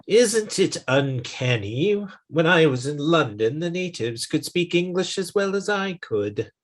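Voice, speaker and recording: A man playing a dry, exasperated middle-aged boss in a 1950s-style radio comedy, with sarcastic, drawn-out lines. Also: a little high, animated.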